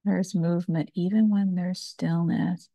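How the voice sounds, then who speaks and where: A woman meditation teacher guiding a body scan, speaking calmly and soothingly in short phrases with long pauses. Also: lowish voice, dry recording. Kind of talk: slow guided meditation, body scan narration.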